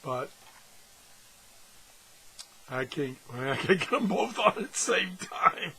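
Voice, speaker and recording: A man with a slightly husky voice gives a casual, unscripted hands-on review, pausing and thinking aloud as he goes. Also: high voice, big pitch swings, pushed and bright, a little hiss or hum, poor audio. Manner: halting, thinking aloud as he handles things. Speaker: gadget reviewer and video host.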